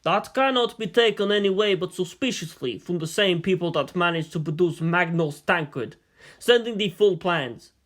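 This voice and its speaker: A man's voice: high-pitched, moderate pace, fairly bright tone. A man voicing an aged, shrewd premier in a story reading, formal, questioning and skeptical. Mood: suspicious. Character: aged, experienced male statesman, suspicious and shrewd premier.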